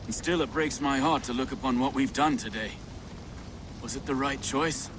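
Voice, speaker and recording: A man acting as a regretful warrior in a game drama. His mid-pitched voice is subdued and heavy-hearted, with clear ambient noise around it. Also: moderate pace, close to an everyday voice. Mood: regretful, doubting. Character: sorrowful, doubting warrior regretting the battle.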